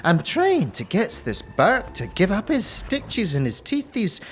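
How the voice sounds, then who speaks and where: A woman's voice: medium pitch, wildly swooping pitch, unhurried, slight background noise. A woman playing a batty, muddled seamstress who mutters repetitions and chats to herself in rustic, ungrammatical speech in a fantasy audio drama. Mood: fussy, self-chatting.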